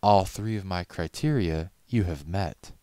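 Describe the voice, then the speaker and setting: A man's voice: low voice, a little slow, dark tone, smooth, no echo, voice pushed up. A man voicing a haughty, exacting female pony in a storybook reading, with imperious, theatrical delivery. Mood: cool, haughty.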